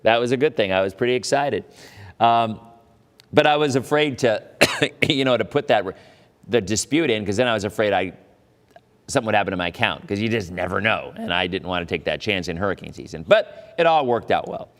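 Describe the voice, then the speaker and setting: A man's voice: lowish voice, animated, a little fast, long reverb tail. A middle-aged man hosting a live weather stream, chatting casually and rambling with frequent asides while losing his voice.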